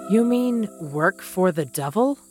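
A woman's voice: medium pitch, lively intonation, a little slow, heavy background noise, close to an everyday voice. An actress playing a fearful accused Puritan woman in an audio drama. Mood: wary. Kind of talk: audio theatre acting.

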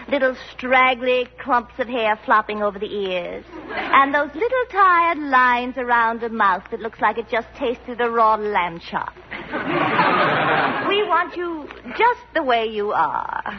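An actress playing a condescending magazine editor in a 1940s radio sitcom, gushing with false sweetness and patronizing barbs. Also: female voice, a little high, expressive, measured pace, some chatter behind. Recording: muffled, hissy 1940s radio, studio audience.

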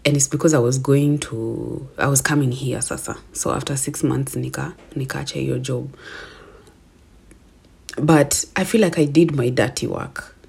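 A woman in her twenties with a Kenyan accent and a deep voice, hosting a casual solo podcast in a chatty, self-affirming way and laughing at herself. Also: steady tone, measured pace, creaky, a little mellow, breathy edge. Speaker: podcast host.

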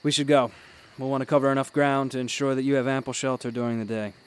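Courteous, unflappable gentleman traveller acted by a man. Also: medium pitch, level tone, clear, resonant tone, close to an everyday voice. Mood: wry, brisk. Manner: polite, eloquent, measured. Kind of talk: radio drama acting.

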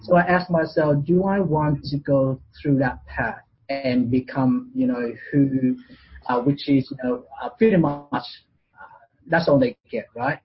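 A man's voice: mid-range voice, animated, unhurried, slightly rough, muffled recording. A man in his forties from Vietnam with a Vietnamese accent, talking as an interview guest in a casual, hesitant way with many fillers and restarts. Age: 40s.

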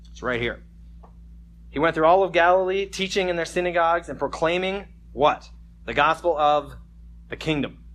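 A man's voice: medium pitch, fairly bright tone, slight background noise. A man preaching a conversational sermon at a church service, joking casually and reading scripture with emphasis. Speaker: pastor.

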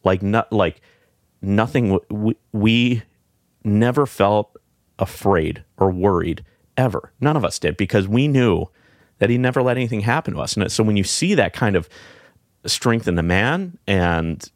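Deep-voiced man, low and dry. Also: animated, dry recording. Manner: reflective, halting, many fillers and restarts. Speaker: podcast guest. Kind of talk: casual heartfelt conversation.

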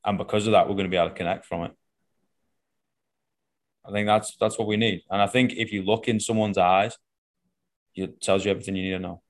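A very deep-voiced man with a Northern English accent talks casually in a podcast chat. He is a fitness coach, blunt and profane, and keeps checking in with "right?" and "man". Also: steady tone, fast talker, pauses often. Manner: blunt and profane, says "right?" and "man" after almost every point.

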